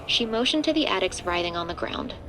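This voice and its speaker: A woman's voice: mid-range voice, expressive, fairly quick, vocal fry, background noise. A woman as the composed third-person narrator of a scripted horror audio drama. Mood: ominous, steady. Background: loud music.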